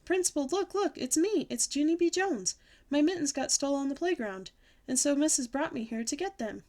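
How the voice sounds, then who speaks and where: A woman voicing an excitable, sassy, dramatic little kindergarten girl with childish, emphatic speech in a children's audiobook. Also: sits high, a little fast, voice pushed up. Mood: eager. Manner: childish bad grammar, indignant, emphatic. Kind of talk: children's audiobook character reading.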